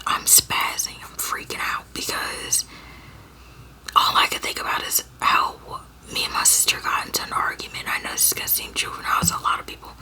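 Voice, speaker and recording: A young woman from Georgia in the US, telling a personal story to her viewers in a halting whisper, full of fillers and restarts. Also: sharp tone, rough recording. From Georgia, USA. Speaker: storyteller vlogger.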